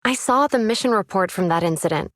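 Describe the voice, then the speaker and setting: A woman's voice: mid-range voice, animated, no room sound, lightly acted. Young officer female actor: earnest, composed, thoughtful, middle register, dry studio sound, game story scene. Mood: serious, reflective. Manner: measured, thoughtful, slightly hesitant. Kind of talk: dramatic video game voice acting.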